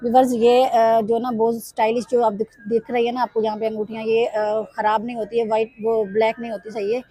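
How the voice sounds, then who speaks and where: A woman vlogger, hoarse from a sore throat, walking through market stalls and pitching bargains to her viewers. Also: flat delivery, brisk, warm tone, no room sound, rough recording. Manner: repeatedly addresses viewers, upbeat sales pitch. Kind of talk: casual walkthrough commentary. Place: busy weekly market stalls.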